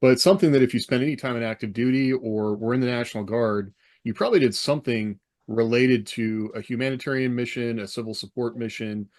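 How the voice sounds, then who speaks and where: Rambling American podcast host, middle-aged man. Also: medium pitch. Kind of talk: casual podcast chat.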